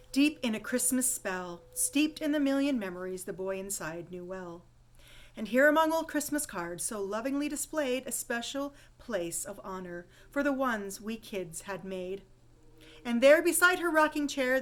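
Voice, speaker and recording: A woman reading a rhyming holiday story poem aloud with expressive, dramatic storytelling emphasis. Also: medium pitch. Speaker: poem reader.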